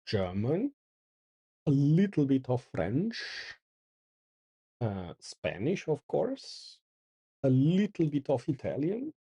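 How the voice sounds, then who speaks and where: Slow, dark-voiced man. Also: mid-range voice, breathy edge. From Porto, Portugal. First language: Portuguese. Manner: thinks aloud while reading out commands. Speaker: channel host. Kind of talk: casual live coding commentary.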